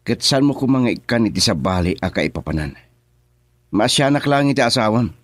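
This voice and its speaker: Man acting an indignant young Ilocano man, husky. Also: mid-range voice, expressive, fairly quick, no echo, close to an everyday voice. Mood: indignant, scolding. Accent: Filipino, Ilocano. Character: indignant young man confronting friends over an affair. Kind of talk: Ilocano radio drama acting.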